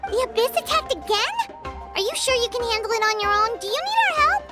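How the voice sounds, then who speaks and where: A woman voicing a small, excitable, childlike fairy companion in an English anime game dub, speaking in squeaky, eager, exclamatory bursts. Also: brisk, faint music behind, full character voice. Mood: surprised, concerned.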